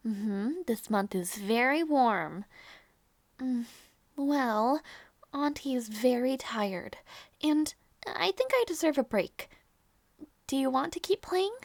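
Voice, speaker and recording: Casting: female actor for tender princess aunt in audio roleplay, high voice, very dry close sound, doting and coaxing. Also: expressive, slow, pauses often, no room sound, exaggerated voice. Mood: sweet, coaxing. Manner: sweet, coaxing, sighing. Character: gentle doting princess aunt minding her little nephew.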